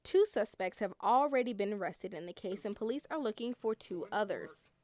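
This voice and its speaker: A woman's voice: mid-range voice, lively intonation, normal speed, background noise. A woman with an American accent reads scripted narration as a television news reporter.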